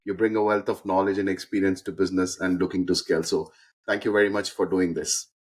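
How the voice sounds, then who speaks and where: Very deep husky male podcast host. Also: flat delivery. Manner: measured, with frequent "uh" hesitations. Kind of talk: interview hosting.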